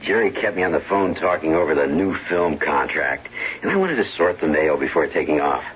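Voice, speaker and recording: An actor playing a doting, flattering husband with a jaunty, flirtatious manner in 1970s radio drama style. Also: male voice, very deep voice, fairly quick, full character voice. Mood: breezy. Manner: jaunty, smooth-talking, flirtatious. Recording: dull, humming 1970s radio recording.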